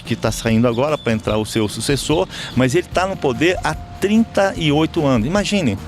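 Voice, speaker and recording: A Brazilian man with a Brazilian Portuguese accent, an interview guest speaking reflectively, with restarts and fillers. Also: medium pitch. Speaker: election observer guest. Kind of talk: conversational interview.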